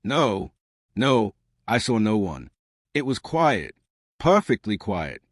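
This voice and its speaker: A man's voice: lowish voice, sing-song, slow, no echo, studio quality, full character voice. A man voicing a nervous, evasive bank manager in an audiobook mystery, stammering and easily vehement. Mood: vehement denial.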